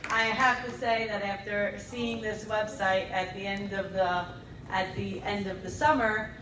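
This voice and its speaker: A woman's voice: medium pitch, steady tone, measured pace, a bit bright. A woman, a teacher moderating a school event, introducing speakers in an upbeat, chatty way. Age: adult. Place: school hall. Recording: echoey room from far off, noisy.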